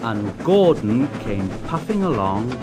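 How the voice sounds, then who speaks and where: Slow, expressive male storyteller over music. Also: medium pitch. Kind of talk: scripted children's story narration.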